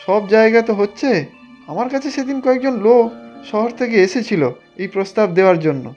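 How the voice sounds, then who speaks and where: A man's voice: sits high, expressive, fairly quick, a little mellow, dull audio, soft background music. A man voicing an ambitious, greedy village official in a cartoon, talking in a persuasive, insistent way. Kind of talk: Bengali cartoon dialogue.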